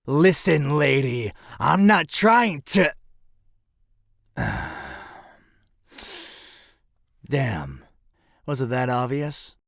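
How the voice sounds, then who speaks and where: A man's voice: medium pitch, very animated, drawn-out words, creaky, long pauses, fairly bright tone, no room sound, clean studio sound, exaggerated voice. A man playing a roguish, flippant mercenary leader with a theatrical swagger in an audio drama. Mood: sheepish, frustrated.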